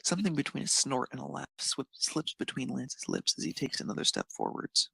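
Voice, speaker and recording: A man's voice: medium pitch, a little fast, gravelly, a bit bright, breathy edge, dry recording. A man narrating a scripted piece of fan fiction as a storyteller.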